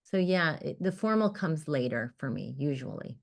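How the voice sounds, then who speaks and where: A woman poet whose first language was Armenian, speaking in an expressive, emphatic conversation over a video call. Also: normal speed, gravelly. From United States. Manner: expressive, emphatic, rises in pitch when animated. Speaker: poet, guest author. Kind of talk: casual conversation about writing. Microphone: computer mic on a video call.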